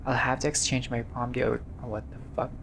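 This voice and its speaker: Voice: mid-range voice, level tone, a little fast. A gamer doing a casual playthrough, reading visual novel text aloud with informal asides, some swearing and the odd stumble. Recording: clearly noisy recording.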